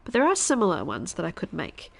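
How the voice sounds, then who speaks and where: A woman in her thirties, a stuffy-nosed streamer chatting casually while she plays, thinking aloud and now and then dropping to a quiet whisper. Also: lowish voice, huge pitch swings, fast, vocal fry. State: congested, stuffy nose. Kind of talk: casual live-stream chat while gaming.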